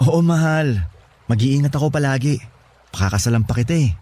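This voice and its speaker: A man's voice: medium pitch, animated, brisk, music in the background, close to an everyday voice. A man playing a devoted young boyfriend in a Filipino radio romance, speaking earnestly and tenderly. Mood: reassuring, tender. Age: young adult. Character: devoted young boyfriend leaving to study in the city. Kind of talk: Tagalog radio romance drama acting.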